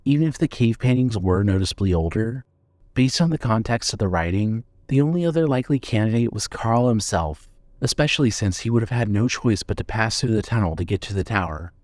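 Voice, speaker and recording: A man narrating a scripted suspense story in a measured, storytelling manner. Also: on the low side, expressive, a little mellow, dry recording.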